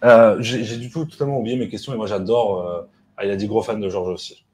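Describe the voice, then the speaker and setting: Fast-talking low-voiced male host. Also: fairly even intonation, darkish tone, slight background noise. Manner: fast, chatty, jokes. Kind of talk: casual live stream hosting. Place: apartment living room at night, indoors.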